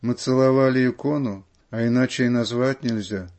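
A man's voice: medium pitch, moderate pace, darkish tone. A man reading listeners' letters aloud as scripted radio narration.

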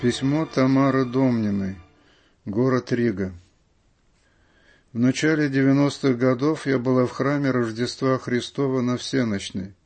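Mid-pitched man reading letters aloud. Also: creaky, darkish tone. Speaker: radio reader of listeners' letters.

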